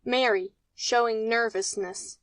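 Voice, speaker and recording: Dry-sounding, higher-pitched woman narrator. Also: fairly even intonation, slow, no room sound. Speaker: narrator of stage directions. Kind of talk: scripted narration, reading stage directions.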